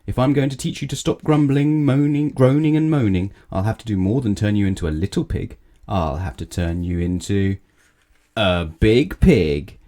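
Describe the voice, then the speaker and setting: A man's voice: on the low side, normal speed, dark tone, close to an everyday voice. Man playing a stern, scolding storybook wizard. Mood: scolding, emphatic. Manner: scolding, emphatic. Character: stern male storybook wizard who scolds the ill-mannered. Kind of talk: children's storybook character voice.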